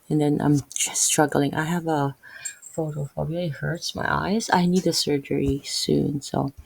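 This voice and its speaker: A woman's voice: sits low, vocal fry, breathy edge, no room sound. A woman from the Philippines with a Filipino accent, reading Bible verses and explaining them in a casual, rambling way full of fillers and restarts. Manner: rambling, many fillers and self-corrections. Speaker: Bible video host.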